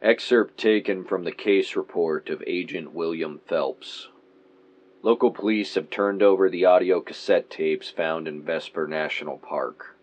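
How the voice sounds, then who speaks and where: Man acting a low-voiced formal investigator. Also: a little slow. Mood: detached, official. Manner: clipped, official, impersonal. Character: formal male investigator reading an official case report. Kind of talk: audio drama narration of a report.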